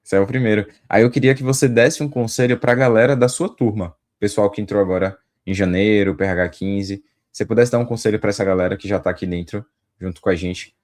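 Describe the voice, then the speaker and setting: A man's voice: fairly low pitch, a little mellow. A man, hosting a casual interview over a video call and affirming his guest often. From Salvador, Brazil. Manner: affirming, keeps saying "total". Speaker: host and career mentor.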